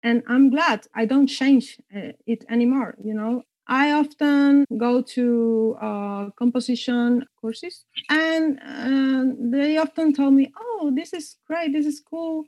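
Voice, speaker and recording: A woman composer from Cuba answering interview questions thoughtfully, pausing often and searching for words. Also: sits high, a little mellow, no echo. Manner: halting, searching for words, frequent hesitations. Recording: dull lo-fi dry sound.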